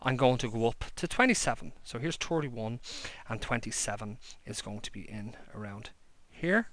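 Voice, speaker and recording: Male maths tutor, mid pitch, dry close sound, talks steps through as he sketches a graph. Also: lively intonation, normal speed, fairly bright tone, no echo. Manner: talks while drawing, plain step-by-step explaining. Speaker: maths lecturer. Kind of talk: handwritten whiteboard-style maths lesson. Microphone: overhead camera above a desk.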